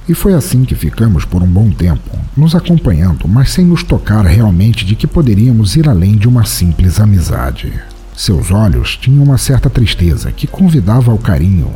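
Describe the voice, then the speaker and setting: A man acts out a broke, hard-drinking Brazilian writer narrating an audio drama. His low voice is very mellow and swings widely in pitch as he muses wistfully, a weary romantic telling his own story. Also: husky, heavily acted. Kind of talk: first-person literary audio drama narration.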